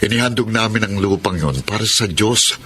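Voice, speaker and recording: A man's voice: fairly low pitch, normal speed, pushed and bright, a little hiss or hum. A man playing a devout, generous younger brother who explains himself patiently, in a radio drama style. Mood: calm, patient. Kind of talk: Tagalog radio drama acting.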